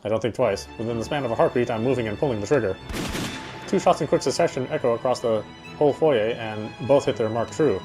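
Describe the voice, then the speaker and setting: Man narrating dramatically over clear music. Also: mid-range voice, fast talker. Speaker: gamer reading the game's narration aloud. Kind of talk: dramatic read-aloud narration. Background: game music.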